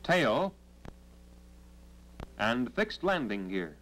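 An American man delivering scripted 1940s military training film narration in a formal, measured, instructional manner on a vintage film soundtrack. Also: mid-range voice, big pitch swings, a bit bright, poor audio.

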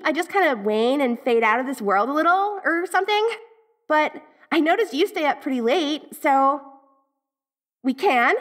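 A woman's voice: high voice, fairly bright tone. A woman playing a dorky tsundere ghost girl from the eighties in an audio roleplay, with an American valley-girl accent and a gushing, hesitant, slangy manner. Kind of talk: audio roleplay acting, speaking directly to the listener.

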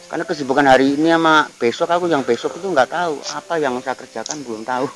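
A man's voice: fairly high pitch, quick pace, soft background music. Older man with a Ngapak Javanese accent. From Banyumas region, Central Java. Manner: rambling, musing, chuckles often. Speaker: guest, veteran TV actor. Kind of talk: casual reflective chat. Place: outdoor garden table at night.

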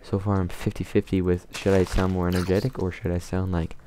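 A man calmly talking to himself while playing a game, in a soothing, mumbling way. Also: deep voice, fairly quick, slight background noise. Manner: calm, mumbling, self-talking. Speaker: gamer. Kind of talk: casual let's play commentary.

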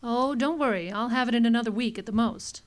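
A woman's voice: a little high, fast, natural voice. A woman playing a coy, scheming femme fatale in a noir radio drama, with a hesitant, pleading, girlish innocence. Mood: guarded, afraid.

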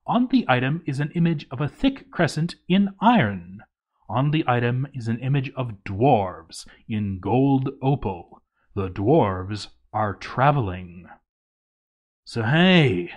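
Male narrator, medium pitch, for dramatic readings of game playthrough tales.

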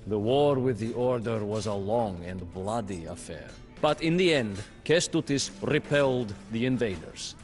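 A solemn male chronicler recounts old battles in unhurried, measured storybook narration. His delivery moves slowly, with a lively, rolling pitch. Soft music sits faintly beneath the studio voice. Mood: grave. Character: male captive chronicler telling a war tale. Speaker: campaign narrator.

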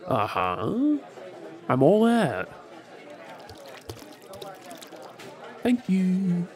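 A man's voice: sits high, wildly swooping pitch, darkish tone, exaggerated voice. Man acting a swooping, tipsy Australian flirt. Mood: pestering, playful. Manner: teasing, drawling, halting with hesitations. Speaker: audio roleplay performer. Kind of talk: ASMR audio roleplay, flirty monologue to the listener. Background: faint bar crowd chatter.

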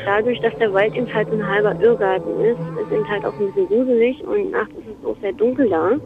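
A woman's voice: medium pitch, fairly even intonation, quick pace. A woman interviewee speaking casually in a lo-fi clip. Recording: tinny phone-like lo-fi clip.

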